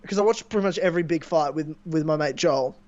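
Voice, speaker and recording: A young man hosting a casual podcast, chatting and rambling excitedly with a sore throat from screaming. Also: a little high, quick pace, dry recording. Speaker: podcast host. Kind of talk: casual chat. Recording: dull lo-fi mic.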